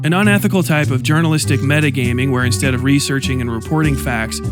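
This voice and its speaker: Man narrating a pointed video essay. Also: medium pitch. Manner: pointed and accusatory. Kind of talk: scripted narration. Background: music underneath.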